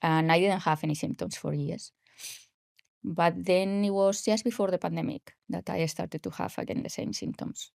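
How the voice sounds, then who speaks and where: A woman's voice: lowish voice, fairly even intonation, normal speed, gravelly, a little mellow, dry recording, studio quality. A woman in her forties with a Spanish accent, a podcast guest talking casually and hesitantly with many fillers. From grew up in Spain. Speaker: podcast guest, health coach. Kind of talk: casual interview talk.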